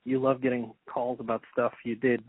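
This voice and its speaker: A man conducting a casual interview in a polite, tentative and halting way. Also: medium pitch, flat delivery, no room sound. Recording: tinny, phone-like archive interview audio.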